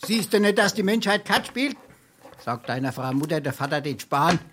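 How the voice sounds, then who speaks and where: A theatrical Hessian scrounger, swooping voice. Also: male voice, a little high, expressive, moderate pace, fairly bright tone, a little hiss or hum. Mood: mock-grand. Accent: Darmstadt Hessian. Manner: theatrical, wily, grandiloquent. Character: cheeky, penniless freeloading male card player and drinker. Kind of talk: dialect stage comedy acting.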